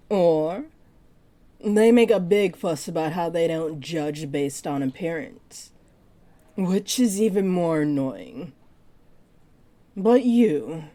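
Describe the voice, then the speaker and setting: A woman playing a flirty, possessive part-dragon librarian in an intimate roleplay audio drama, speaking to the listener in a teasing, deliberate way. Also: fairly low pitch, warm tone. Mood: fond, reminiscing. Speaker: voice actor.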